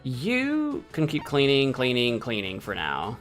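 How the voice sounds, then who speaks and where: A man's voice: mid-range voice, huge pitch swings, unhurried, fairly bright tone, faint music behind. An American man casually thinking aloud while playing a game on stream, rambling, correcting himself and bantering with chat in a mock-indignant way. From United States. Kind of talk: casual gameplay commentary.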